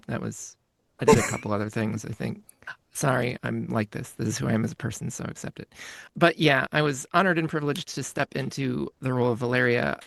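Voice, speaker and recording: A man's voice: mid-range voice, creaky, a bit bright, dry recording. A man chatting casually as a voice actor, in a rambling, wry manner. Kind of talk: casual chat.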